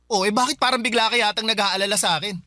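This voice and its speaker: Casting: male actor as resentful young brother, radio drama, rapid bright delivery, defiant and argumentative. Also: sits high, no room sound, clean studio sound. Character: rebellious, resentful young jobless brother lashing out. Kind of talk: radio drama dialogue in Tagalog love story.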